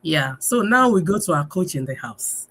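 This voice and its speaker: A woman's voice: on the low side, sing-song, moderate pace, husky. A woman from Nigeria, hosting a live business talk stream over a video call and speaking with frequent fillers. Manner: warm greetings, many fillers, laughs often. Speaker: host.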